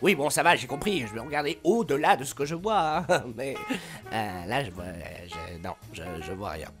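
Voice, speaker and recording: A man's voice: medium pitch, lively intonation, normal speed, fairly bright tone, soft background music. A man voicing a small, fussy, sarcastic cartoon sidekick creature with a chattery, exasperated manner. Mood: sarcastic, grudging.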